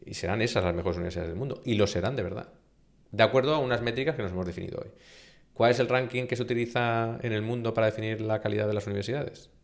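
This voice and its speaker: Man, middle-aged, from Spain, Castilian accent, very low pitch, gravelly, emphatic interview guest. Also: fast. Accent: Castilian Spanish. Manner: emphatic, animated with rhetorical questions. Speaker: university vice-rector, guest. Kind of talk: conversational interview.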